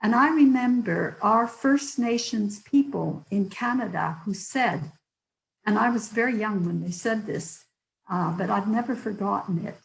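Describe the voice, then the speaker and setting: Older woman, hoarse, gravelly, earnest lecturer, storytelling manner. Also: medium pitch, measured pace, roomy sound, low-quality recording. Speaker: guest lecturer. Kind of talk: online public lecture.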